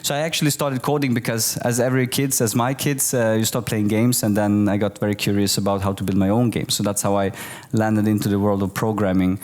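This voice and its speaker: Low-voiced man giving a conference talk. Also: fairly quick. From Bosnia. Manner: relaxed, many fillers and restarts. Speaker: keynote speaker. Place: office event space with audience.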